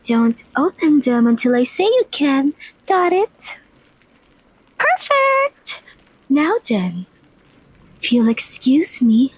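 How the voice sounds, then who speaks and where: A woman acts out an eager young vampire mistress in an ASMR roleplay. Her voice is very high and swings widely in pitch, with slow, playful phrasing. She sounds sweet and informal, recorded close and dry. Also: sing-song, no echo, full character voice, poor audio. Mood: playful, friendly. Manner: bubbly, informal, giggly.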